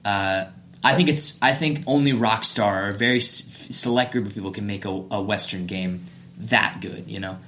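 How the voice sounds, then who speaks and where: A man's voice: lowish voice, moderate pace, bright, forceful tone. A man hosting a casual gaming podcast, chatting in an enthusiastic, opinionated way. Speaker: podcast host.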